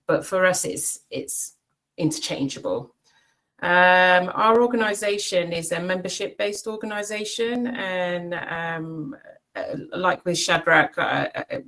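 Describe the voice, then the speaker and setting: A middle-aged British woman, a chief executive, giving an unscripted online presentation, thoughtful and halting with many fillers. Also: deep voice, vocal fry, fairly bright tone. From Britain and Cyprus. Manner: thoughtful, many ums and restarts. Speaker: CEO of an education organization.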